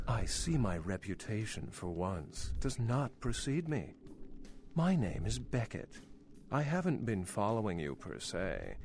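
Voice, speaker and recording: An actor playing an urbane, worldly old vampire scholar in a video game, speaking in a formal, wry, articulate way. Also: male voice, fairly low pitch, very animated, a little slow, a little mellow, faint music behind. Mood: wry, amused. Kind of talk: video game character dialogue.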